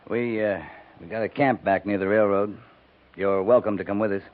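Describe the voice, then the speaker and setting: An actor playing a steady, weary US cavalry captain in a 1950s radio western, speaking in a calm, laconic, plain-spoken way. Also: male voice, lowish voice, moderate pace, lightly acted. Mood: businesslike. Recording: noisy, tinny 1950s radio recording.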